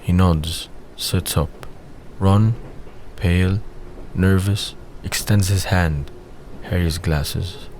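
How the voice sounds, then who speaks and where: Deep-voiced man narrating an audio drama. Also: fairly even intonation, slow pace, darkish tone. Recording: faint background noise.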